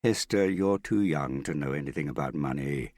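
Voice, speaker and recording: A man's voice: deep voice, sing-song, normal speed, creaky, slightly rough, no echo, clean studio sound, voice pushed up, exaggerated voice. A man voicing a vain, theatrical middle-aged father in an audiobook, wheedling and self-pitying with a pompous, affected way of talking. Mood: impatient, agitated. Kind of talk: audiobook character dialogue.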